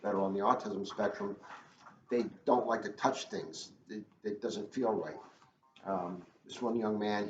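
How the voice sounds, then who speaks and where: A man with a fairly low voice talks casually and earnestly, stressing points by repeating them. The recording is echoey and dull, with a faint layer of noise. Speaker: police school resource officer. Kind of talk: casual, earnest talk to children. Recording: roomy, muffled, faintly noisy.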